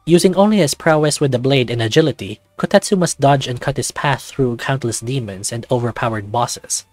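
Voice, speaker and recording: A man narrates a visual novel in the second person with an even storytelling delivery. His voice is mid-pitched and the recording is dry and close. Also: a little fast, no room sound, faint music behind.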